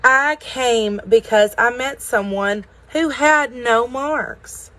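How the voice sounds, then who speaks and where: Woman voicing a timid little wooden puppet boy, pitched up. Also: slow, fairly bright tone. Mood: puzzled. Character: small childlike wooden puppet, male, timid and self-doubting.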